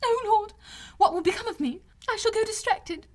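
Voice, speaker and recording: A woman voicing a gushing, excitable middle-aged mother in an audiobook, with effusive, breathless exclamations. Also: extremely high pitch, normal speed, voice pushed up. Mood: ecstatic. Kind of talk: audiobook character voice.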